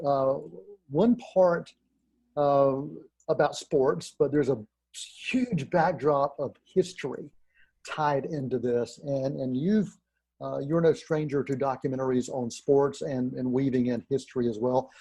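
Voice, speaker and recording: Male movie show host, dark husky voice, lots of uhs, dry close sound. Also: medium pitch, unhurried, no echo. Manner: friendly, hesitant, with many "uh"s. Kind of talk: hosting an interview.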